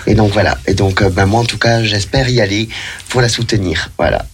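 Man, southern French accent, low voice, chatty radio guest, enthusiastic, faint background noise. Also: brisk. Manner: chatty, enthusiastic, hesitant fillers. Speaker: guest, professional dancer. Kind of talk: casual radio interview. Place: radio studio.

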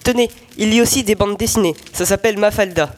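A man's voice: sits high, fast, close to an everyday voice. A teenage boy playing an eager, simple village barber in a student audio drama. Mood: brisk. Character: eager, unlettered village barber, priest's helper. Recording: echoey hall, faint noise.

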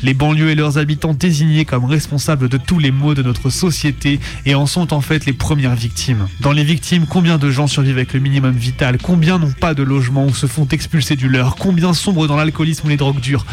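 A man presenting on radio, reading a scripted militant documentary text in measured phrases. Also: on the high side, a little mellow. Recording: music playing under the voice. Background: music.

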